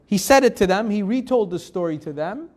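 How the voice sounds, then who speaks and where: A man's voice: sits high, animated, brisk, a little mellow. A rabbi teaching a live Torah class. He reads Hebrew verses, translates them phrase by phrase, and asks the class rhetorical questions in a loose, conversational way. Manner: conversational, with restarts and fillers.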